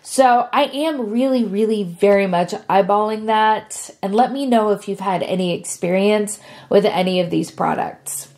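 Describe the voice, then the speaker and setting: Sick woman with a rough, sniffly voice. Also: medium pitch, measured pace, darkish tone. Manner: chatty and friendly, with frequent fillers. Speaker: beauty vlogger. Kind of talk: casual talk to camera.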